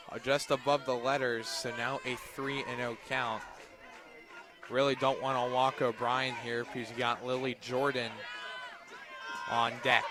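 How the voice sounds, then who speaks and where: A man doing live play-by-play softball commentary for radio, with clipped, terse calls that lift on big plays. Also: medium pitch, background noise. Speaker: play-by-play sportscaster.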